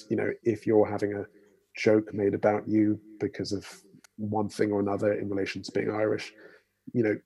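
A man with an English accent, a doctor speaking in a panel discussion in a measured way, with many fillers. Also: fairly low pitch, gravelly, mellow, slightly rough, rough recording.

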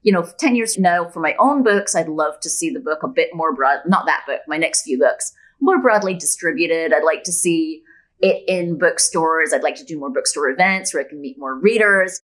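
A woman's voice: deep voice, animated, moderate pace, a bit bright, low-quality recording. A woman speaking as an author guest in a casual interview, chatty and enthusiastic.

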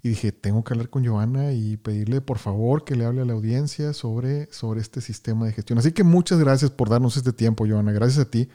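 A man's voice: mid-range voice, a little fast, hardly any pauses, dark tone. A man hosting a podcast interview, greeting listeners with energy and often repeating words as he talks. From Houston, Texas, United States. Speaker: podcast host.

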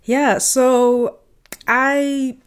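A South African woman in her thirties, a podcast guest, telling her story in an animated, reflective way. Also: sits high, drawn-out words, clear, resonant tone. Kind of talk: conversational interview storytelling.

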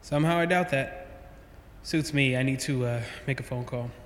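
A man's voice: mid-range voice, normal speed, reverberant, long reverb tail, a little hiss or hum, natural voice. An actor playing a middle-aged, self-deprecating estranged father in an audio drama, dry and hesitant. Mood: dry, rueful. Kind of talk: naturalistic audio drama acting.

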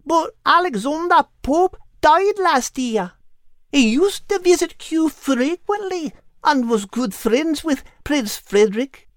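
Man voicing a high, polite Welsh lady corgi. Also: animated, slow, fairly bright tone, dry recording, studio quality, exaggerated voice. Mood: polite, informative. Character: polite, playful female corgi dog, well-bred palace pet.

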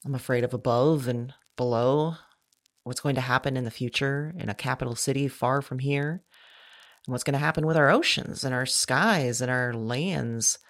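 A woman acting a frightened outcast in an intimate, halting audio drama monologue, confessing and repeating herself. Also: rumbling low. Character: frightened, self-declared old, mad, broken woman, awed dreamer. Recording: music behind the voice. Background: music.

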